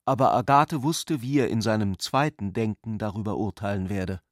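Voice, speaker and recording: A man giving measured, scripted literary narration for a radio play. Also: lowish voice, dry recording, clean studio sound.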